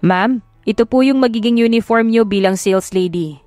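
A woman's voice: a little high, normal speed, a little mellow, no room sound, close to an everyday voice. A woman voicing a deferential, businesslike male store manager with a Filipino accent in an audio drama. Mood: polite. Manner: courteous, businesslike. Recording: music behind the voice.

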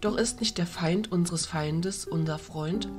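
Woman as a low-voiced, dignified fairy ruler. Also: a little mellow, soft background music, close to an everyday voice. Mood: diplomatic. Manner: formal, measured.

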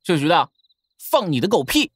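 A cocky young wuxia fighter in a radio drama. The voice is lowish for a woman and leaps widely in pitch, combative and close-miked. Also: very animated, moderate pace, husky, dry recording. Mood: indignant. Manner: brash, swearing, combative. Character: hot-tempered, foul-mouthed young martial-arts hero.

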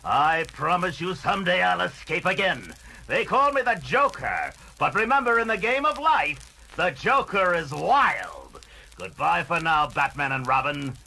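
High, piercing, maniacal clown villain. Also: male voice, big pitch swings, measured pace, gravelly, pushed and bright, husky, exaggerated voice, rough recording. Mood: gloating. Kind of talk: comic-book audio drama acting. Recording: vintage vinyl record drama with music.

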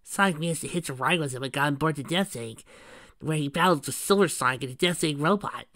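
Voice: mid-range voice, quick pace, pushed and bright, no echo, clean studio sound, pitched above the performer's own voice. A performer voicing an earnest, cheerful young boy fox sidekick, eagerly explaining in a light, youthful tone.

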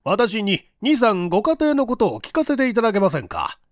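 A polite, probing male investigator, played by a male reader in a mystery audiobook. His voice is lifted and higher, with courteous, formal questioning. The recording is dry. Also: sing-song, a little fast, no echo. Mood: polite, earnest. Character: polite, probing male detective agency chief. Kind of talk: dramatic audiobook character reading.

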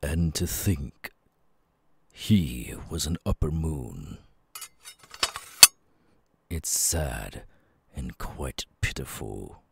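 A man playing a grim, solemn demon-hunting swordsman in an anime audio roleplay, speaking in a grave, measured way. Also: a bass voice, expressive, vocal fry, noisy background, exaggerated voice. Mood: solemn, resolute.

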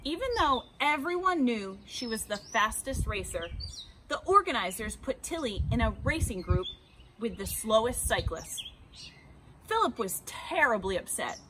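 An American woman narrates a children's picture book in a fairly high, very lively voice. She takes her time, leaning dramatically on key words. She is recorded outdoors with some background noise. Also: moderate pace. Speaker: read-aloud storyteller. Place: outdoors beside a house.

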